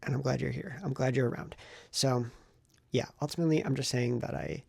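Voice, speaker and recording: Gravelly, creaky-voiced man vlogging casually. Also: medium pitch, fairly even intonation, fairly quick, darkish tone, dry recording, clean studio sound. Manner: rambling, self-reflective, thinking aloud. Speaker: writing vlogger and novelist. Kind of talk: casual talking-head vlog.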